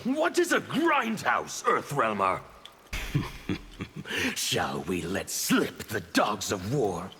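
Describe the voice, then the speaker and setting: An actor playing a burned anarchist revolutionary villain in a video game, gravelly and forceful, with menacing, theatrical declamation. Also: male voice, fairly low pitch, huge pitch swings, extremely slow, pauses often, heavily acted. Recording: long reverb, faint background noise.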